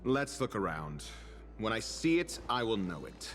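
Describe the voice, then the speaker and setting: A man's voice: on the low side, sing-song, a little slow, a bit bright, soft background music. A man voicing a serious, curt, impatient companion with a dry wit in a video game. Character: stern, impatient, dry-witted male companion.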